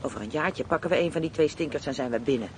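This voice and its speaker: A man's voice: a little high, fast, a little mellow, close to an everyday voice. A man acting a brash, streetwise Dutch criminal underling in a radio play, blunt, coarse and slangy. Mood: bitter, defiant. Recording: faint noise, radio play recording.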